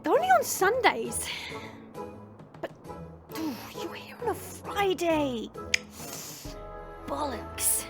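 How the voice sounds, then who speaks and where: A woman's voice: very high voice, big pitch swings, takes it slowly, long pauses, over music, exaggerated voice. A woman playing a smirking, sarcastic monster woman who chats and mocks in an audio drama. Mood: mocking. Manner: sarcastic, mocking, chatty. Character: smirking, teasing monster woman, short and muscular.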